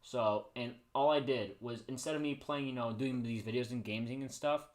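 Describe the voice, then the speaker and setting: Male streamer, average pitch, informal storytelling host who rambles and doubles back on his sentences. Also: fairly even intonation, normal speed. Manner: rambling, repeats and restarts phrases. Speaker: livestream host. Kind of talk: casual livestream storytelling. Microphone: streaming microphone he adjusts by hand.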